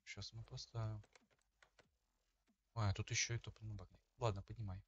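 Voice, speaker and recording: A man's voice: fairly low pitch, little pitch movement, moderate pace, slightly rough. A man giving casual let's-play commentary as a gaming host, talking informally and slangily as he thinks aloud. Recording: dull lo-fi microphone sound.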